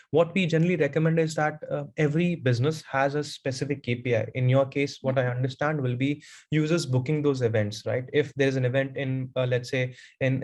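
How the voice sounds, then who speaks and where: A man speaking as a guest presenter in an online webinar, explaining step by step and filling pauses with "uh" over a video call. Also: mid-range voice, a little mellow. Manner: explains step by step, many "uh" fillers.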